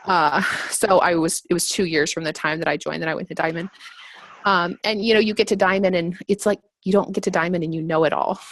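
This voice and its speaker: A woman's voice: lowish voice, dry recording, low-quality recording. A woman of thirty, chatty and giggly, telling her story with many fillers. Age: 30s. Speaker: panelist sharing her business story. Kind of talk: casual personal testimony.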